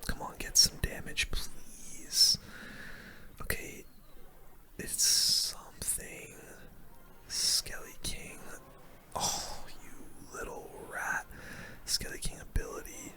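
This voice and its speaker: A person giving ASMR gameplay commentary in a breathy whisper, using casual gamer slang. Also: takes it slowly, long pauses, bright, forceful tone. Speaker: gaming video host.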